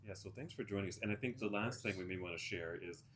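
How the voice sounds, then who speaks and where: A man's voice: low-pitched, fast, a bit bright, slight background noise. A man in his fifties co-hosting a podcast, laughing easily and musing in a meandering, reflective way. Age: 50s. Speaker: podcast co-host. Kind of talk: casual conversation.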